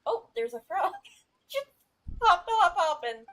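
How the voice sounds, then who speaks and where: A woman's voice: very high voice, very animated, takes it slowly, fairly bright tone. A woman game streamer giving casual, reactive let's-play commentary with a giggly manner. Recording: game music behind the voice.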